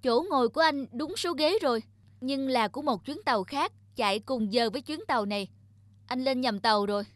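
A woman's voice: sits high, fairly quick, no room sound, close to an everyday voice. A woman voicing a forthright, matter-of-fact train passenger in a cartoon. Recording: music under the voice.

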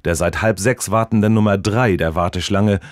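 A man's voice: fairly low pitch, moderate pace, no room sound, natural voice. Man acting an earnest radio reporter, dry studio sound. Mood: solemn, deadpan. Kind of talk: mock-serious broadcast reporting.

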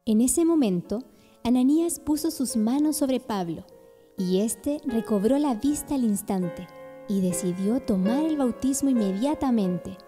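A woman's voice: fairly high pitch, expressive, measured pace, warm tone, soft background music. A woman giving scripted narration for a radio drama.

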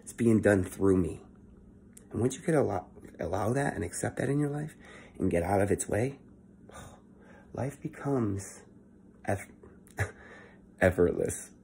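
A young man giving a casual motivational monologue into his phone at home, keeping his voice low and swearing freely. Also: expressive, slow, gravelly, pauses often, warm tone, slight background noise. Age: young adult. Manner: talking low and swearing often, earnest. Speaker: vlogger. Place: indoor room at home. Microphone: phone held close at arm's length.

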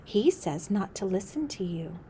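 A woman's voice: mid-range voice, animated, a little slow, dark tone, a little hiss or hum, natural voice. A woman voicing a doubtful female otter who speaks earnestly with rising tone.

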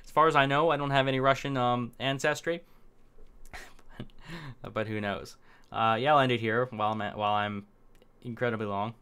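Young male vlogger, off-the-cuff rambler, smooth clear tone, medium pitch, desk mic in small room. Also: fairly even intonation, normal speed, long pauses, bright, forceful tone. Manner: rambling, talks on the fly with fillers and restarts. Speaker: political commentary vlogger. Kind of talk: unscripted casual commentary.

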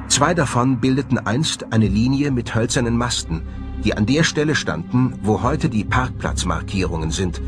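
A man delivering scripted documentary voice-over narration. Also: mid-range voice. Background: background music.

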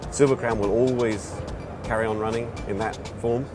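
A middle-aged man, a racing series founder, answering interview questions at a trade show in an animated, self-deprecating way. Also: medium pitch, normal speed. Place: busy indoor trade show hall. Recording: music bed under voice.

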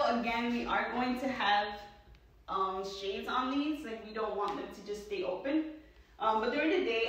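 House-tour vlogger, woman from New York, higher voice, rambling and chatty, mic a bit distant in very echoey bare rooms. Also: a bit bright, slight background noise. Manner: chatty, rambling with many ums and likes. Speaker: vlogger giving a home tour. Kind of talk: casual walking house tour. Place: indoors, sparsely furnished new house.

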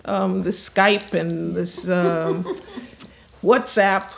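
A woman's voice: on the low side, fairly even intonation, drawn-out words, vocal fry. An older woman on a panel on stage, speaking reflectively, laughing often and trailing off mid-sentence. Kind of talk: panel discussion. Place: stage of a concert hall, in front of an audience.

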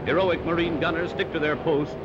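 A man's voice: fairly high pitch, steady tone, fairly quick, heavy background noise. An American man reading a scripted 1940s newsreel in a dramatic, emphatic delivery. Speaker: newsreel narrator. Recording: dull old 1940s film soundtrack, loud music.

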